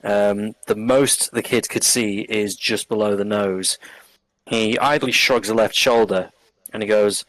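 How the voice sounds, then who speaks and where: A man describing his character in a tabletop game in a calm, measured way. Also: lowish voice, no room sound, low-quality recording. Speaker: player.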